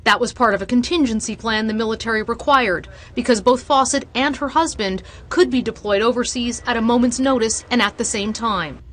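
A woman's voice: fairly high pitch, a little fast, hardly any pauses. A woman with a Canadian accent reading scripted news narration as a reporter.

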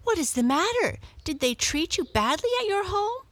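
A curious, chatty house cat, as a woman reads her aloud in a storybook, her voice pitched well up and lively as it leaps between notes. She asks eager, excited questions. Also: normal speed, exaggerated voice.

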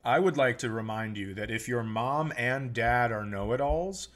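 Wry male podcast co-host, mid-pitched. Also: normal speed, fairly bright tone. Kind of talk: casual comedic banter.

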